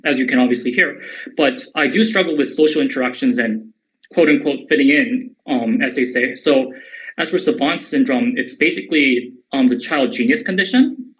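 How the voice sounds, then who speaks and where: A man's voice: medium pitch, a bit bright, poor audio. A man in his twenties who grew up in Korea, speaking as a crypto founder in a live audio interview, often restarting his sentences. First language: English. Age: 20s. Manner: hesitant, repeats words at starts of sentences.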